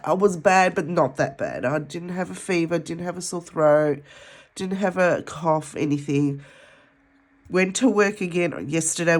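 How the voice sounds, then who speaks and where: An Australian woman vlogging at home, nasal from a head cold, chatting in a rambling way while she counts cash. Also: low voice. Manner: rambling, chatty, with many ums. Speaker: budgeting vlogger.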